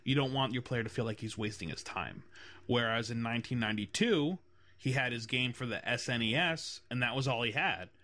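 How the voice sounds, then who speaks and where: A man's voice: mid-range voice, a little fast, bright, forceful tone. A man hosting a casual game design podcast, talking in a loose, rambling way with many fillers. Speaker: podcast host.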